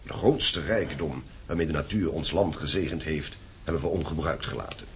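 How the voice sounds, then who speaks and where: A man's voice: a bass voice, moderate pace, noisy background. An actor playing a stern, domineering landowning father in a radio play, commanding and formal. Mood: grave, purposeful.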